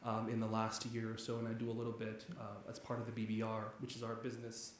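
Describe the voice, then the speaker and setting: A man's voice: lowish voice, completely flat delivery, quick pace. A man from Nigeria who teaches economics, giving an eager, talkative guest lecture with many fillers and restarts. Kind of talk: public lecture. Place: large echoey church hall. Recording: very echoey hall, faint background noise.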